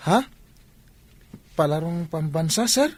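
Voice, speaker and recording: A man's voice: on the high side, expressive, slow. A young man playing an eager, humble student athlete and son in a radio drama, with an Ilocano accent. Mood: humble surprise.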